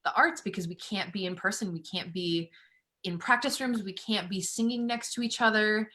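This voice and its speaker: Bright, enthusiastic woman host. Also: medium pitch, normal speed. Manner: enthusiastic, affirming, asks long questions. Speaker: host, coach. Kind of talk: casual interview conversation.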